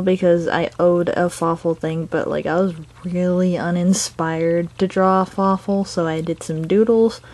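A woman talks casually and a bit goofily as she presents her own work. Her pace is slow and rambling, and music plays behind her on a homemade camera recording. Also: on the low side, a little mellow. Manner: goofy, giggly. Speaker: amateur artist and comic dubber. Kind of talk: casual, rambling vlog narration. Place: indoors at home, sitting on the floor.

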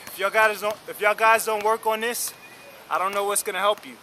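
Bright, high-voiced man coaching outdoors. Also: fairly quick, slight background noise. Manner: energetic, slangy, encouraging. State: tired from drills. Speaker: basketball vlogger. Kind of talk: casual coaching talk to camera. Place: outdoor basketball court. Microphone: camera mic close up.

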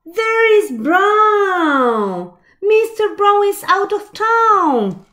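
Very slow, animated woman reading to children. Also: very high voice. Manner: expressive, with asides and questions to the listeners. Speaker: children's storytime reader. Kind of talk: reading a picture book aloud to young children. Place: small indoor room with shelves.

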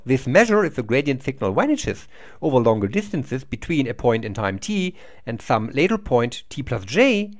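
A middle-aged man giving a recorded university lecture over slides, explaining step by step and often restarting words. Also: mid-range voice.

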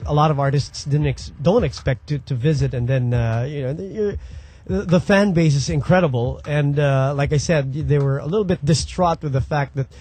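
Guy radio host doing an interview, voice a bit on the high side, chatty style. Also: normal speed, warm tone, husky.

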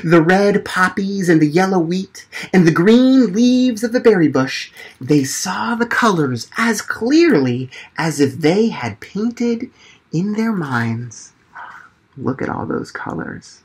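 Guy doing kids storytime, gentle n slow, voice goes up and down a lot. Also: high voice, slightly rough. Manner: gentle, expressive. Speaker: library storytime performer. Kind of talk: children's picture-book storytelling. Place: small indoor room. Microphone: camera mic at arm's length or further.

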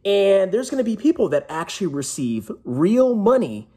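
Casting: male vlog host, thirties, rough-edged husky voice, lively swooping delivery, emphatic joking showman. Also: high-pitched, moderate pace. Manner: brash, jokey, emphatic showman. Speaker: personal finance vlogger, host. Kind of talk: casual announcement to camera. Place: small indoor room at home.

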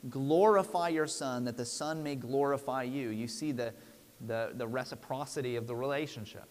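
A man in his thirties preaching a sermon from a church pulpit in an earnest, emphatic, deliberate way. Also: mid-range voice, darkish tone. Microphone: lapel mic. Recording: long hall reverb.

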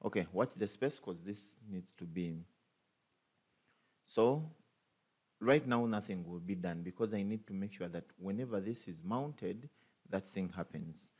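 A man's voice: sits low, unhurried, darkish tone, husky. A man teaching a coding tutorial over a screen recording, thinking aloud with many fillers and restarts. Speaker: programming tutor.

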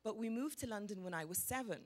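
A middle-aged woman from Egypt speaks to a live audience in a big hall. She talks into a handheld microphone with an animated, expressive storytelling manner and a pitch sitting in the middle of the range. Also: fast. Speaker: panelist telling a personal story. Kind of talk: storytelling on stage.

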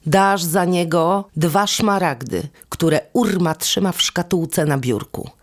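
A woman narrating a folk tale as a storyteller, with measured, deliberate phrasing and instrumental music behind her. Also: fairly low pitch. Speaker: storyteller and host of a podcast series.

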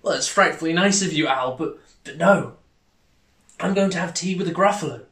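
Man reading as a bright, cheeky little storybook mouse. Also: a little high, normal speed, reverberant. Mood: politely confident.

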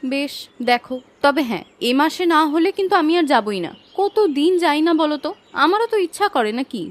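A woman voicing a caring, gently scolding village housewife in a cartoon fairy tale, homely and coaxing. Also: sits high, very animated, fairly quick. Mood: fussing, chiding.

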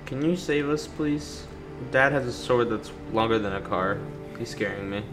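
A man's voice: mid-range voice, moderate pace. Man joking through a game, music behind. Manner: joking, reacting to characters, reading captions aloud. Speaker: gaming video host. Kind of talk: casual playthrough commentary. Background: video game music and sound.

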